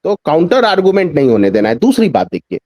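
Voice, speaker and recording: A man's voice: fairly high pitch, huge pitch swings, fast, darkish tone, no echo, clean studio sound. A man speaking as a journalist guest in a news discussion, giving emphatic political commentary with repeated phrases and rhetorical questions.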